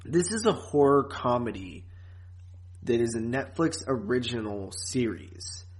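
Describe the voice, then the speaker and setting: A man talks as a podcast co-host in an informal review chat. His pitch sits in the middle of the male range. He keeps things loose and conversational, with a teasing, joking streak. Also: expressive, unhurried.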